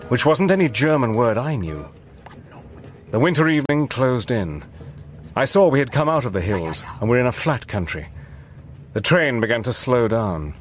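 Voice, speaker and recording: An actor playing a resourceful British agent who narrates his own story in a calm, wry first-person voice. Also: medium pitch, a little hiss or hum, lightly acted. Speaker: narrator and hero.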